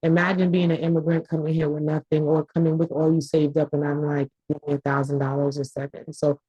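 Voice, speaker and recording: Husky low-voiced woman, hesitant. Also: little pitch movement, fast talker, dark tone, no echo, dull audio. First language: Haitian Creole. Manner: hesitant, candid, many fillers. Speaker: class participant, small business owner. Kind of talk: casual chat. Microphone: video call.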